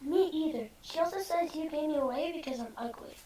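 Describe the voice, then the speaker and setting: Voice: high voice, fairly quick, a little mellow, lightly acted. A performer playing an innocent, pleading little foster boy who longs for his mother, in a screenplay table read. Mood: earnest, pleading.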